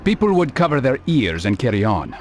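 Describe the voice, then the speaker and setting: An actor playing a cool, resolute master assassin in a video game cutscene, with a terse, dry, controlled delivery. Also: male voice, mid-range voice, sing-song, a little fast, faint music behind, close to an everyday voice. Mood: dry, wry.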